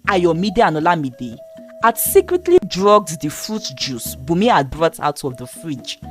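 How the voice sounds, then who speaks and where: A woman narrating a moral folktale in a steady, expressive storytelling manner. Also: low-pitched.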